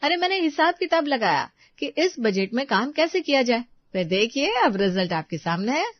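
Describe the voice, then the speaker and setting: A woman playing a thrifty, practical young daughter-in-law who talks briskly and defensively in a family story. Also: a little high, big pitch swings, no echo, lo-fi sound. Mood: proud.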